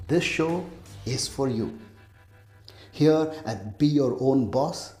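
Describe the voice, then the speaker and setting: A man's voice: mid-range voice, mellow, husky. A man hosting a podcast, opening with a scripted welcome in an announcer's voice and then asking chatty questions. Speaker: podcast host. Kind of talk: scripted intro, then casual interviewing.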